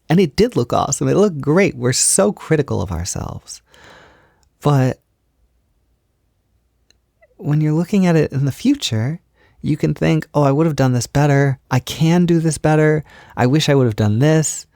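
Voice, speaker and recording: A man playing a gentle, affectionate boyfriend in an intimate roleplay audio, speaking softly and soothingly, with unhurried reassurance. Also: a little high, creaky, no room sound, clean studio sound. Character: gentle, affectionate boyfriend comforting his insecure partner. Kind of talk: intimate roleplay audio, soft-spoken ASMR.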